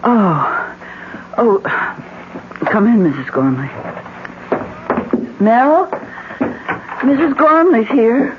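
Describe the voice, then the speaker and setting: A woman's voice: fairly high pitch, big pitch swings, drawn-out words, pauses often, heavily acted. A noisy, muffled old radio broadcast carries an apprehensive American woman. She is emotional and dramatic, in the manner of a seventies mystery serial. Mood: subdued. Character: anxious, emotional woman, companion in a ghost mystery.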